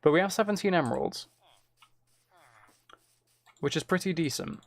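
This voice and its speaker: A man casually commentating on his game and chatting to viewers, muttering along in a low-key way, close to the mic. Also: lively intonation, moderate pace, creaky, no room sound. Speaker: streamer playing a game while chatting with viewers.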